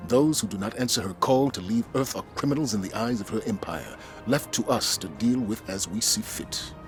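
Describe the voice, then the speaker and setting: A grave, steady military commander , as a man plays him, weighs his words with measured authority. His voice sits low against a loud orchestral game score. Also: faint music behind, close to an everyday voice.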